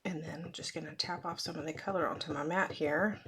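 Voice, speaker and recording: A woman with a hoarse, fading voice, chatting casually with frequent fillers as she demonstrates card-making at her crafting desk. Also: low voice, steady tone, fairly quick, fairly bright tone.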